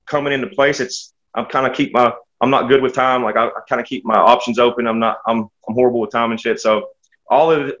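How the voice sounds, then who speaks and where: A man from the United States talks casually as a guest musician. His delivery is quick and rambling, with folksy turns of phrase and a stop-start flow. The audio is dull and lo-fi. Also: mid-range voice, fairly bright tone. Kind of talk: casual chat.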